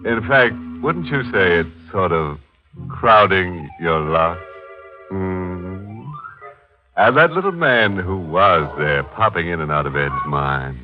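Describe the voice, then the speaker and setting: A sardonic horror-show host in 1940s radio, mocking and sly. He drawls very slowly in animated swings of pitch. Organ music plays on the dull old recording. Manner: sardonic, drawn-out, teasing. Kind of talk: 1940s radio horror hosting with wry jokes.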